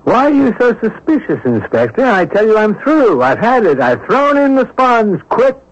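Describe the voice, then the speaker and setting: An actor playing a wily, cheerful middle-aged career thief in a 1970s radio drama, glib and teasing. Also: male voice, high-pitched, big pitch swings, normal speed. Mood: glib, playful. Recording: dull lo-fi radio broadcast recording.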